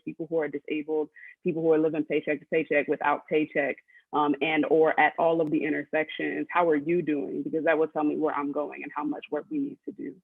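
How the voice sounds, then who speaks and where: A man argues emphatically on a panel, stacking his points one after another. His voice is gravelly and husky, pitched a little high, and it stays flat. It comes through a tinny, phone-like line with a dry sound. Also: no room sound. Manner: emphatic, list-like, steady build of points. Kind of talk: panel discussion.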